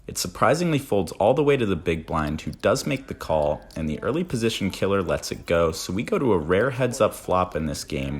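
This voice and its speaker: A man with a very low voice delivers scripted vlog narration. His intonation moves up and down a lot, giving the commentary an animated feel, with a wry, self-deprecating touch. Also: breathy edge. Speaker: poker vlogger narrating hands.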